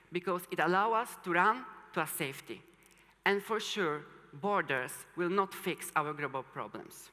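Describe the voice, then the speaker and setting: Casting: young adult student speaker, native Polish, forceful bright voice, earnest stage talk, long hall reverb. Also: medium pitch. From Poland, moved to Italy as a teenager. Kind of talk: rehearsed public talk. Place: large indoor hall or stage.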